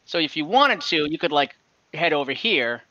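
A young man acting as dungeon master on a video call, easygoing and quick to wave off rule slips. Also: a little high, animated, bright, forceful tone, no room sound. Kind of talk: running an online tabletop game. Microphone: headset on a video call. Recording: dull lo-fi video call audio.

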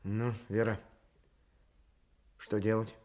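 A man's voice: on the low side, little pitch movement, slow, a little mellow, slight background noise. An actor playing a bitter, evasive and calculating war-veteran father in a radio play. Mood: uneasy. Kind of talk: Soviet radio play acting.

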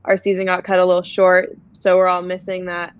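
Young woman, low smooth voice, very flat delivery, dry dull webcam sound. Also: brisk, no room sound. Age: young adult. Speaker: college athlete guest. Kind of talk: casual interview answer. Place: living room with couch. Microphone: webcam video call.

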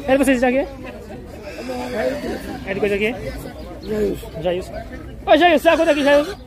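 A man's voice: extremely high pitch, lively intonation, normal speed. A man with a Northeastern Brazilian accent chatting informally as a market vlogger, asking prices and repeating answers back. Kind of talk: casual on-the-spot market commentary and chat. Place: outdoor pig market. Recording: very loud outdoor livestock market crowd. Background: loud crowd, animals, vehicles.

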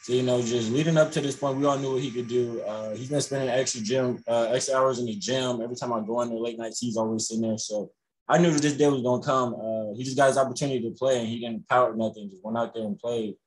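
A young man, a college basketball player, answering reporters on a video call after a game, relaxed and full of "you know" fillers. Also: medium pitch, fast talker, slight background noise, low-quality recording. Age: young adult. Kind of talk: postgame press conference answers. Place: indoors in front of a logo backdrop.

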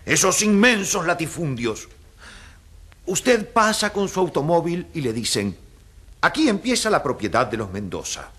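An actor playing an earnest, emphatic professor who argues didactically in an oratorical radio debate. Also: male voice, on the high side, very animated, unhurried, a bit bright, husky, exaggerated voice. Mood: earnest, challenging. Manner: didactic, rhetorical questions. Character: earnest, emphatic male professor arguing for landless peasants.

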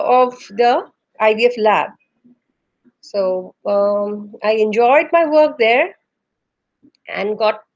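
A woman's voice: fairly high pitch, dull audio. Indian woman answering on a video call. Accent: Indian English. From Northeast India. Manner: measured, with frequent "uh" pauses. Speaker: embryologist guest. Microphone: video call webcam.

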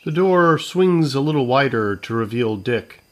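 A man narrates a scripted audio comedy. His voice is of middling pitch, and he reads in a measured, matter-of-fact manner. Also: lively intonation.

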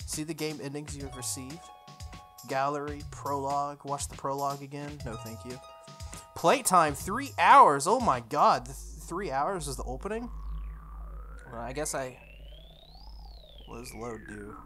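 A game playthrough host reading on-screen text aloud and commenting casually, reacting out loud with exclamations and questions. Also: mid-range voice. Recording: music behind the voice. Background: game and anime music.

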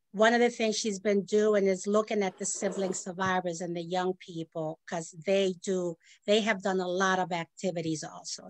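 A woman speaking on a video call, explaining plans in a halting way with many restarts and fillers. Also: medium pitch, no room sound. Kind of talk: casual discussion on a video call.

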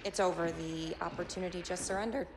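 A woman's voice: on the low side, steady tone, fast, music in the background, lightly acted. A woman playing a spirited, cheeky young rebel pilot in a video game, talking informally. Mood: sheepish, pleased. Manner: informal, youthful. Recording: game effects noise behind.

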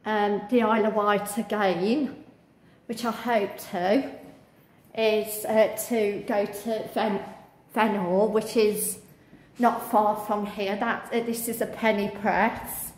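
A woman vlogger talking through a museum and garden on a walking tour, halting with many fillers. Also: medium pitch, steady tone, darkish tone, echoey room, low-quality recording. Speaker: travel vlogger. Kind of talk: casual walking tour commentary. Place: indoor museum and outdoor garden.

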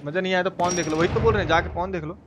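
High male voice, Bihari Hindi accent, game streamer banter, colloquial swearing, teasing, noisy background. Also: racing. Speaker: video game live streamer. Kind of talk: casual live gaming commentary with chat banter. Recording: clearly noisy, game sound behind.

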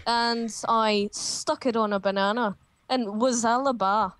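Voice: mid-range voice, steady tone, a little slow, fairly bright tone, smooth, close to an everyday voice. A young-sounding performer playing a naive, oddball prank caller, silly and mock-innocent with abrupt turns in an improvised call. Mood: silly, boastful. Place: at a computer on a group video call.

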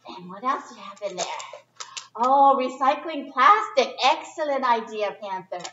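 High-pitched, expressive woman reading to children. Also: moderate pace, a little hiss or hum. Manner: expressive, chatty, simple phrasing for young listeners. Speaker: storyteller reading a picture book to children. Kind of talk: children's read-aloud with informal asides. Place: indoor library children's area. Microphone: distant camera microphone. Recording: echoey room, distant muffled camera sound.

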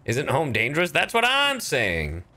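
A man's voice: a little high, wildly swooping pitch, measured pace, pushed and bright. A man giving casual, playful gameplay commentary as a streamer, exclamatory and full of swearing. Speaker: streamer, voice actor.

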